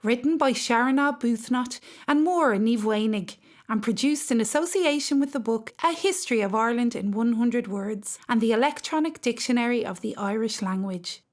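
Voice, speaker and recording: A woman's voice: a little high, moderate pace, few pauses. A woman announcer reading a scripted introduction to a radio series.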